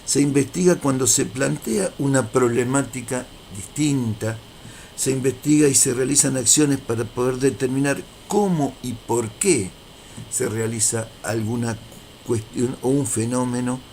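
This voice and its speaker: A man lecturing in a deliberate, didactic way, repeating key phrases for emphasis as he presents prepared material. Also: mid-range voice, a little mellow, slight background noise. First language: Spanish. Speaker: university lecturer.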